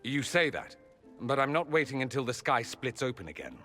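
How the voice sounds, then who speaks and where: A man's voice: medium pitch, very animated, a little fast, bright, forceful tone, faint music behind. A male actor playing a witty, theatrical, aristocratic mage in a video game, speaking with wry, eloquent irony. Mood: teasing affection.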